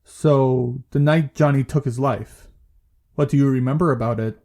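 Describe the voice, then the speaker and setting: A man playing a calm, supportive therapist in an audio drama, speaking in a measured, gently firm way. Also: medium pitch, lightly acted. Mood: probing. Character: calm, reassuring male therapist. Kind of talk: audio play dialogue.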